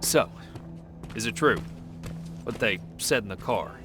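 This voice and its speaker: A man's voice: on the low side, lively intonation, measured pace, music in the background. A man voicing an earnest, questioning young resistance soldier in a video game. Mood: inquisitive.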